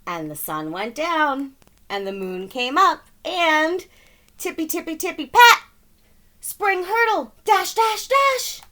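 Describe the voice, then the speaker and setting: Animated, bright-voiced woman reading a storybook. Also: very high-pitched, slow. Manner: dramatic, emphatic, playful with sound words. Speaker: storybook reader. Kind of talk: animated children's read-aloud narration.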